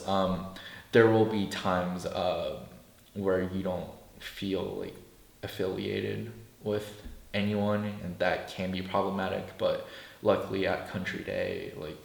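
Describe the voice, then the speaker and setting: A teenage boy speaking in a measured way with fillers in a documentary interview. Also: low-pitched, gravelly. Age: teens. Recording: echoey room.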